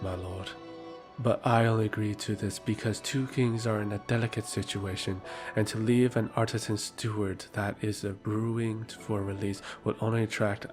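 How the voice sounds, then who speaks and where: Guy acting a defiant fantasy court advisor, lowish voice, formal n sardonic, soft music behind. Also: lightly acted. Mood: pointed. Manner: formal, pointed. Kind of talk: audio drama acting.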